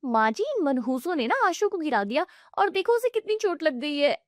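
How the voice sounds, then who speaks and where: Cartoon role: a woman doing a nasty, high-voiced sister-in-law, scolding and dry-recorded. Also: big pitch swings, normal speed, no room sound. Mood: angry. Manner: shrill, harsh, scolding. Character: scornful jealous sister-in-law, young woman.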